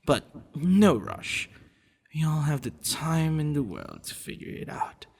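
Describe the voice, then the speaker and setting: Man acting husky, gravelly vampire lover. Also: a little high, wildly swooping pitch, measured pace, full character voice. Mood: gentle, reassuring. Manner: tender, soothing, teasing, intimate. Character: possessive, doting male vampire lover, centuries old. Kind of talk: ASMR boyfriend roleplay acting.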